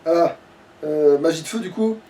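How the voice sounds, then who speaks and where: High-pitched man gaming, far from mic. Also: moderate pace, mellow. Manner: informal, sweary, hesitant self-questioning. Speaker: let's play player commentating. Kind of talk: casual gaming chat. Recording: distant from the microphone.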